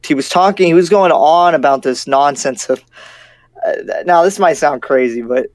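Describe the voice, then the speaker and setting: A man playing a skeptical, cautious demon slayer in an improvised roleplay, speaking in a halting, incredulous way. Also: a little high, dry recording, natural voice. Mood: wry, doubtful. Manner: halting, incredulous, repeats himself. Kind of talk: improvised roleplay acting in casual speech.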